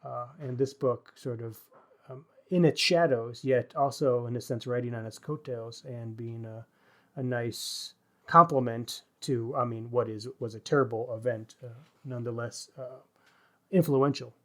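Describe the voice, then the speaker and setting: A man's voice: medium pitch, steady tone, a little slow, a bit bright. Male podcast co-host for informal book talk; thoughtful, literary, feeling his way through each point. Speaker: podcast co-host discussing books. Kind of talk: casual literary discussion.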